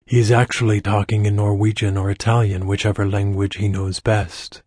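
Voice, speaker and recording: A calm, knowing trickster sage, voiced by a man in an audiobook, low and dark in tone and nearly monotone. His husky voice explains without hurry. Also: moderate pace, no room sound, clean studio sound. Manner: flat, level, explaining calmly. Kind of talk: audiobook character voice.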